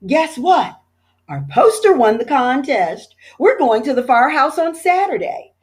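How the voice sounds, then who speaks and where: A woman reading aloud voices an excited young boy, the eager little brother in a storybook. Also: high voice, unhurried, pitched above the performer's own voice. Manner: eager, exclaiming.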